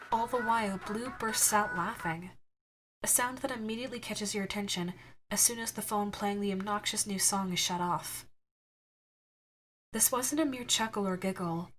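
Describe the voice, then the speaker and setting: Woman narrating softly over clear music. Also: mid-range voice, normal speed. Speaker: narrator and voice actress. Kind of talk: scripted second-person ASMR story narration. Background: instrumental music.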